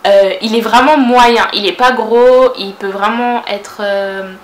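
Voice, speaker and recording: A woman's voice: medium pitch, steady tone, slow, roomy sound. A woman in her twenties from France with a Parisian French accent, answering viewer questions in a casual vlog, chatty, with lots of fillers and self-corrections. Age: 20s. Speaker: vlogger.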